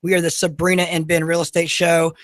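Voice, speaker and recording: Man in his 30s, high unusual voice, upbeat talk radio host, dry studio sound. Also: completely flat delivery, brisk, fairly bright tone, no echo. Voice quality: high, unusual voice often mistaken for a woman. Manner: upbeat, motivational, many fillers. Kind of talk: talk radio monologue with ad reads. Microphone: studio microphone.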